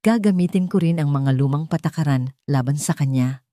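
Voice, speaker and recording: A woman's voice: deep voice, moderate pace, warm tone, no room sound, studio quality, pitched below the performer's own voice, lightly acted. A woman with a Filipino accent voicing a shrewd, resolute older rich matriarch in an audio story, speaking with firm authority. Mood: firm, indignant. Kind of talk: audio story character acting.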